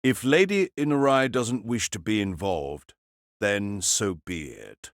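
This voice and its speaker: A woman acts out a bitter older nobleman in a fantasy audiobook. He is stern and aristocratic, speaking slowly in a low voice with pointed, lively inflection. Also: no echo, clean studio sound, exaggerated voice. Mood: resigned. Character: stern, bitter older male lord magus.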